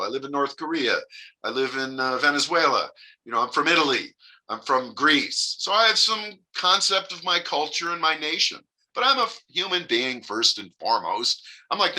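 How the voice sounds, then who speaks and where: Man, earnest, rambling, many restarts, bright tense tone. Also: medium pitch, rough recording. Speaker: religious leader giving a message. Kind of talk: improvised spiritual address.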